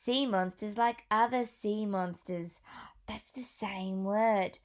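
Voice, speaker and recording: A woman teacher reading a picture book aloud to young children, pausing for questions and gentle whispered asides. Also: mid-range voice.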